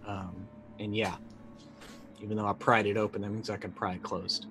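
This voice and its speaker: A man in his forties with a fairly low voice talks casually as a roleplaying game player. He describes what his character does in a halting way, working it out aloud. Soft music plays behind him. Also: flat delivery, rough recording. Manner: hesitant, thinking aloud. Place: indoor room, online video call.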